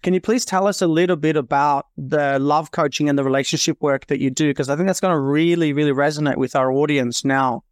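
A man's voice: on the high side, steady tone, brisk, hardly any pauses, no room sound, studio quality. A man hosting an interview, enthusiastic and affirming toward his guest. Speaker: podcast host. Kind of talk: interview host.

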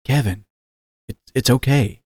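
A man doing a gentle, reassuring stallion. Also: medium pitch, measured pace, husky, no room sound, clean studio sound. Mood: tender. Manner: soft, reassuring.

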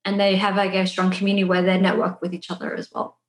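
A woman speaks as a podcast guest in a relaxed, chatty way, peppering her talk with "like." Her voice carries a noticeable room echo. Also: on the low side, fast talker, creaky. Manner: casual, filler-heavy with frequent "like". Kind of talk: conversational podcast interview. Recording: echoey room.

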